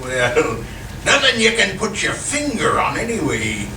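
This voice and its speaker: A slow, high-voiced rustic watchman played by a man, teasing and ominous in a live radio comedy. His folksy talk is heard from off in a roomy, noisy hall. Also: wildly swooping pitch, full character voice. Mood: folksy, needling. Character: folksy, cackling male watchman hinting at ghosts. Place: live performance in a lodge hall. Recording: roomy, distant live stage recording, clear noise.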